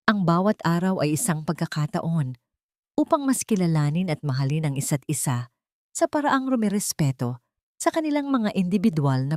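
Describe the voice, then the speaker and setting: A woman narrating a scripted dramatic story in a measured, solemn and sentimental manner. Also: fairly low pitch, a little mellow, dry recording, clean studio sound. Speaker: narrator of audio drama stories.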